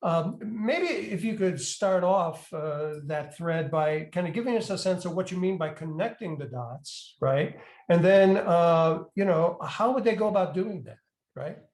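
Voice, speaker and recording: A man hosting a university interview series, asking questions in a measured, polite way with some fillers. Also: high-pitched, animated, a little mellow, reverberant. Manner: measured, polite, with "uh" and "you know". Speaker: university executive in residence, interviewer.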